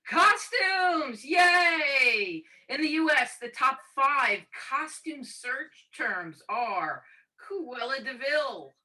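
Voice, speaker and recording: A woman's voice: on the high side, sharp tone, distant mic, low-quality recording. A woman playfully and theatrically reading a mock news list on a video call. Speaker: presenter of comic news segment.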